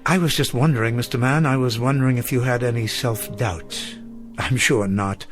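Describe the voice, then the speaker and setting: Male actor as elderly schoolmaster for radio drama: middle-pitched, eloquent, gentle, melancholy, doubts himself, faint music. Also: steady tone, moderate pace, lightly acted. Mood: bleak, ashamed.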